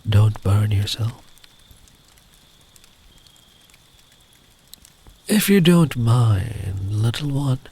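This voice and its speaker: A man playing a big, kind traveller in the woods in an ASMR comfort roleplay, speaking gently and soothingly, often close to a whisper. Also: mid-range voice. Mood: caring. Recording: clear background ambient noise.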